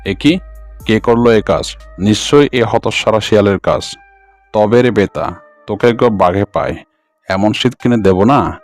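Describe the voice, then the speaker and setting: Guy doing a bullying tiger doctor for a kids cartoon, low gruff voice, bit of music under it. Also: measured pace, pauses often, lightly acted. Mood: angry. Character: bossy, bullying male tiger playing quack jungle doctor. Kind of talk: animated children's cartoon voice acting.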